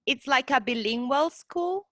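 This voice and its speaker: A woman whose first language is Spanish, asking interview questions in English over a video call, polite and slightly halting. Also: high voice, expressive, fairly bright tone, no echo, studio quality.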